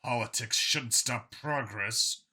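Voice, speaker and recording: A man's voice: medium pitch, slow pace, sharp tone, slightly rough, exaggerated voice. A man voicing a terse, weary human detective in a sci-fi story reading. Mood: frustrated. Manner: terse, grumbling. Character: Vincent, gruff weary human detective on dangerous missions.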